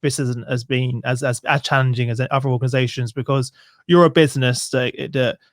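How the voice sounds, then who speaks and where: A man's voice: medium pitch, steady tone, a little fast, no room sound. A young man hosting a podcast, friendly and casual, with a few fillers, on a studio mic. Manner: friendly, uses fillers and restarts. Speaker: podcast host. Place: room at home. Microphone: studio mic with headphones.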